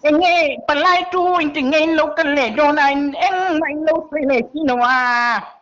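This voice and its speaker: A woman's voice: high-pitched, drawn-out words, a bit bright. A woman talking casually in a phone conversation. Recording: muffled, dull phone-call audio.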